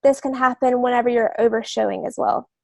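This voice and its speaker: A woman's voice: on the high side, fairly even intonation, a little fast, gravelly, a little mellow, dry recording, clean studio sound. A woman co-hosting a podcast, explaining earnestly in casual talk. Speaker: podcast co-host, author.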